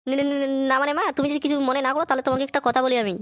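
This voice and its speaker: Performer voicing a high, hurried cartoon son. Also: flat delivery, a bit bright, dry recording, clean studio sound. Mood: nervous. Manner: stammering, foul-mouthed. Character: cheeky tattletale son, nervous around his scolding mother. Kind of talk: amateur comic cartoon dubbing.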